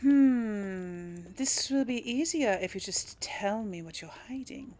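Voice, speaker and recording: A woman's voice: a little high, expressive, slow, darkish tone. A woman doing casual game commentary and role-playing dialogue choices, musing aloud with little hums. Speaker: gamer narrating a role play series.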